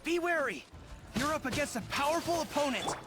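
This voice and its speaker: A man's voice: extremely high pitch, expressive, a little fast. A man playing an earnest young army general in an anime-style video game. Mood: urgent, commanding. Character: earnest young male army general rallying his troops. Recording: loud music behind the voice. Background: game music.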